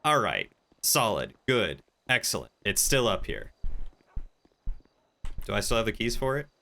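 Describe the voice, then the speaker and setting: A man's voice: fairly low pitch, huge pitch swings, measured pace, gravelly, bright, forceful tone. A man chatting casually as a game streamer, rambling and thinking aloud while commenting on play. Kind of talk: casual live-stream chat and gameplay commentary.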